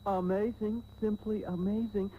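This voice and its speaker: An actor playing a smarmy, genteel sea captain with affected politeness and villainous intent, in a comic radio serial on old tape. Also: male voice, high-pitched, fairly even intonation, a little slow. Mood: smooth, flattering. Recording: thin, dull old radio tape, faint hiss.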